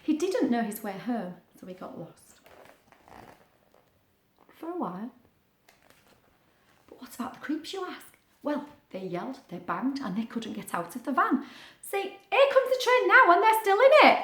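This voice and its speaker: A woman reading a picture book aloud to young children, expressive, with chatty asides and questions. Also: sits high, normal speed. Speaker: children's story reader. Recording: roomy, echoing sound.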